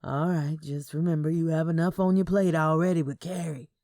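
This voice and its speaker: Woman voicing an easygoing, teasing husband, lowish pitch. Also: fairly even intonation, fairly quick, dark tone, no echo, clean studio sound.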